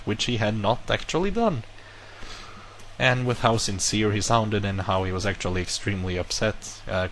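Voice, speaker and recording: A low-pitched man whose first language is not English talks casually to his viewers, rambling through a personal story and stopping to reword himself. A faint hum of room ambience sits under his voice. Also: low-quality recording. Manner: rambling, self-correcting, animated retelling. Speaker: vlogger. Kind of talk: casual monologue to camera.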